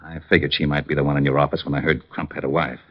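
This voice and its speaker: Gruff, low-voiced, short-tempered police lieutenant. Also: male voice, level tone, racing. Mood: businesslike. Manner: barking, sarcastic, impatient. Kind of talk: 1940s-50s radio detective drama acting. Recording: muffled old-time radio recording.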